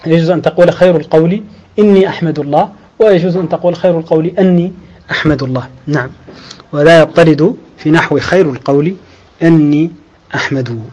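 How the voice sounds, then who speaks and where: Man slowly lecturing on grammar. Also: a little high, darkish tone, dull audio. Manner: explains slowly with many drawn-out fillers and restarts; quotes verse and scripture in a measured recital tone. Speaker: Arabic grammar teacher. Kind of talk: lecture explaining a grammar text.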